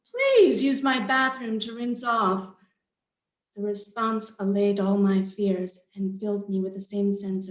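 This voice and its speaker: A woman author speaking at a literary event, reading her fiction aloud and talking about her work. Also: medium pitch. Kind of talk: reading own fiction aloud, then panel talk. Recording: roomy echo.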